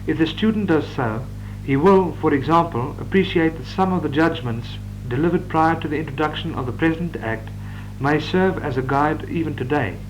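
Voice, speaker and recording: A man, reading out law course notes in a measured, dictating manner with occasional stumbles. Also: fairly high pitch, low-quality recording. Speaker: law lecturer. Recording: old reel-to-reel tape with hum.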